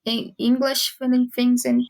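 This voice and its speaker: Brazilian woman, halting English. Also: a little high, flat delivery, darkish tone, low-quality recording. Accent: Brazilian Portuguese. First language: Portuguese. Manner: searching for words, halting. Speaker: English student guest. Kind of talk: casual podcast chat.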